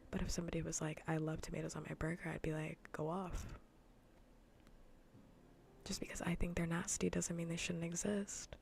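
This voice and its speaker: A woman streaming in a soft, breathy, often whispered ASMR voice, chatting informally and playfully close to the mic. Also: on the low side, little pitch movement, moderate pace, long pauses, a little hiss or hum. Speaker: streamer hosting a live cozy gaming broadcast. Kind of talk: soft-spoken ASMR casual chat. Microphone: close ASMR microphone.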